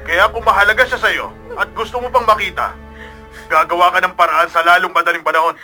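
A man's voice: high-pitched, steady tone, brisk, sharp tone, faint music behind. A male actor playing a menacing crime boss in a Filipino radio drama, sly and threatening, with a Filipino accent. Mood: cold, threatening.

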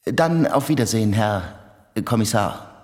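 An actor playing an evasive, uneasy doctor in a radio play, hesitant and guarded. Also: male voice, fairly low pitch, animated, unhurried. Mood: nervous, surprised. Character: evasive, uneasy male doctor under questioning.

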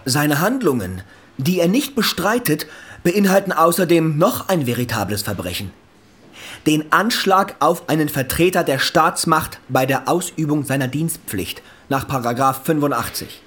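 An actor playing a cold, self-righteous state prosecutor in a radio drama, declaiming officiously and scornfully. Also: male voice, a little high, lively intonation, normal speed, a bit bright. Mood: officious, accusing. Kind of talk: German radio drama acting.

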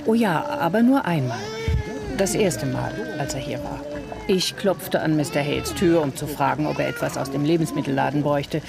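An actress playing an observant, talkative neighbour giving witness testimony in a radio crime drama, telling it deliberately and with emphasis. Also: female voice, sits low, expressive, creaky, loud music behind the voice. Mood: recalling.

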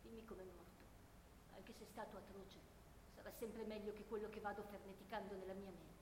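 A woman's voice: mid-range voice, steady tone, fairly quick, natural voice. A woman playing a grieving, insistent peasant mother of a dead soldier in an Italian radio play. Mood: anguished, pleading. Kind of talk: Italian radio theatre monologue and dialogue. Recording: echoey reverberant room, faint noise.

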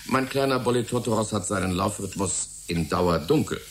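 A man's voice: fairly low pitch, normal speed, slight background noise, lightly acted. An actor playing a genial, erudite older scientist in a German radio drama, savoring his words in a fatherly way. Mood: genial, absorbed. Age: middle-aged. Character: genial, enthusiastic older titled scientist, Michael's fatherly senior.

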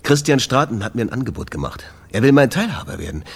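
Husky, animated troubled club owner. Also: male voice, mid-range voice, fast talker, exaggerated voice. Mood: worried, confiding. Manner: animated, exasperated, confiding. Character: troubled jazz club owner deep in debt.

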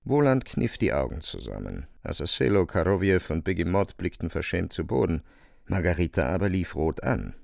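A man's voice: deep voice, very animated, normal speed, creaky, a little mellow, no room sound, studio quality. A man narrating a literary radio play from a script as its storyteller.

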